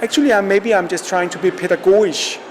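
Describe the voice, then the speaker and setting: A man's voice: sits high, fast. A man from Denmark in his fifties, an artist answering interview questions in a reflective, hesitant way, often repeating himself.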